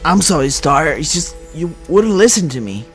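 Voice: mid-range voice, sing-song, normal speed, faint music behind. A performer voicing an anxious, paranoid young male superhero leader in a Minecraft roleplay, worried and hesitant. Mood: concerned.

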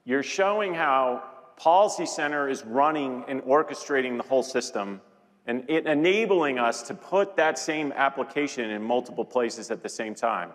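A man's voice: medium pitch, expressive, moderate pace. Middle-aged man on a reverberant keynote stage. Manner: repeats words and phrases for emphasis. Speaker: chief executive co-presenting a keynote. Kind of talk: conversational keynote presentation. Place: large conference stage with audience. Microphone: lapel mic. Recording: reverberant large hall sound.

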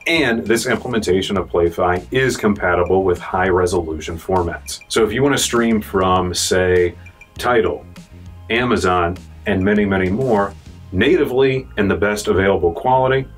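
A man's voice: sits low, normal speed, breathy edge, faint music behind. A young American man presenting a product review in an informal, salesy way, addressing viewers as guys. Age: young adult. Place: indoor showroom studio, dark backdrop.

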